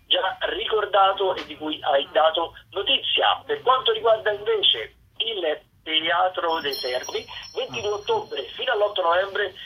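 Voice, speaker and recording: A radio presenter giving a semi-scripted rundown of listings in a halting, discursive way, with self-corrections. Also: medium pitch. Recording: muffled, phone-like radio line.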